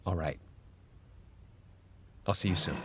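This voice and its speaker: An actor playing an earnest, gently pushy adult, speaking in a measured, reassuring, slightly hesitant way in an audio drama. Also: male voice, deep voice, breathy edge, no echo, full character voice. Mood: reassuring, insistent. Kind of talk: naturalistic audio drama dialogue.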